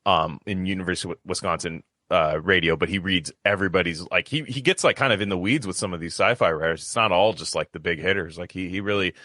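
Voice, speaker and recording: A man with a deep but forceful, bright voice talks casually on a podcast. He rambles through his story in loose slang, doubling back on his words, recorded close and dry. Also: quick pace, hardly any pauses, no room sound. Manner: rambling, slangy, repeats himself.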